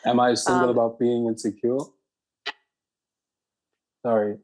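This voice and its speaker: Reflective young man pausing as he thinks. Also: mid-range voice, flat delivery, a little mellow, poor audio. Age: 20s. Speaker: panel guest. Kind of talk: casual group discussion.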